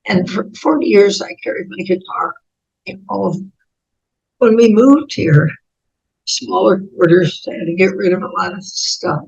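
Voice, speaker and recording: An 88-year-old American woman with a raspy voice, telling reflective personal stories as an interview guest in halting, thoughtful phrases. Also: medium pitch, darkish tone, lo-fi sound. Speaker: interview guest, author and speaker.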